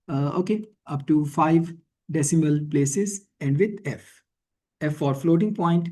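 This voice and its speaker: A man teaching in a screencast tutorial, thinking aloud haltingly and often checking in with a questioning tag. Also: fairly high pitch, mellow. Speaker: instructor. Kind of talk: screencast programming and statistics tutorial.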